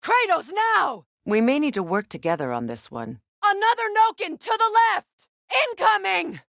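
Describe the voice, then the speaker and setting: A woman playing a proud, guarded warrior goddess in a video game, speaking in a measured, commanding, solemn manner. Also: very high-pitched, wildly swooping pitch, gravelly, fairly bright tone, no room sound, studio quality, full character voice. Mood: urgent, shouting. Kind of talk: dramatic video game acting.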